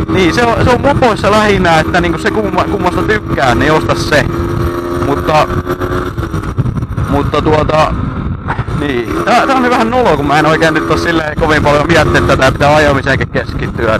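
A young man talking casually and unscripted as he rides, in a hesitant, rambling way with frequent self-corrections. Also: fairly high pitch. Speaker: motovlogger. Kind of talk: casual unscripted monologue while riding. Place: outdoors, riding a moped on snowy streets at night. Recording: very loud engine and outdoor riding noise. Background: loud moped engine, vehicle sounds.

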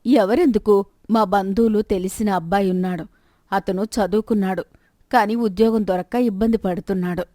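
A woman's voice: mid-range voice, fairly even intonation, normal speed, mellow, no echo, lightly acted. Practical, nagging wife, voiced by a woman, very dry sound. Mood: assured, persuasive. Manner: chiding, questioning, persuasive. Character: practical, persistent, nagging middle-aged wife of rich merchant. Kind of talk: moral story dialogue.

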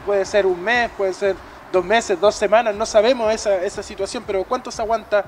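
A man asking questions as a field news reporter, in a halting way with repeated words. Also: high-pitched, fairly even intonation. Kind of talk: live interview questioning. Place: outdoors at a restaurant terrace. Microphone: handheld reporter microphone. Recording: outdoor street noise with traffic.

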